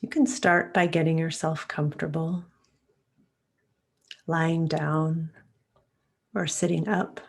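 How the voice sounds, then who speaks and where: Slow, low-voiced woman guiding meditation. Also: creaky. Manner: calm, soothing, unhurried with long pauses. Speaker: meditation guide.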